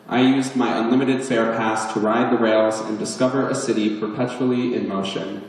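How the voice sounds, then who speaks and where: A man giving a live reading from his memoir as its author, in articulate, literary phrasing with a wry touch. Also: medium pitch. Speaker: author reading at a book event. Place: chapel, indoors, at a live book release. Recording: echoey chapel, picked up from far off.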